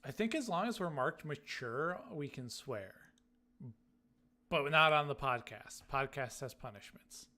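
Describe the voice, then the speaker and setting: Bright-voiced man in casual podcast chat. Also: fairly high pitch, lively intonation, a little slow.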